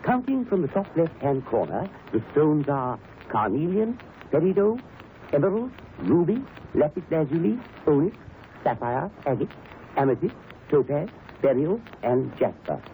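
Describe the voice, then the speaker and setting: Fussy, animated museum professor. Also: male voice, on the high side, moderate pace. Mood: courteous, scholarly. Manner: hesitant, fussy, formal, stammering. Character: fussy, anxious male museum professor, superstitious despite himself. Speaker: museum curator. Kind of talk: 1930s radio mystery acting. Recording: muffled, noisy old radio recording.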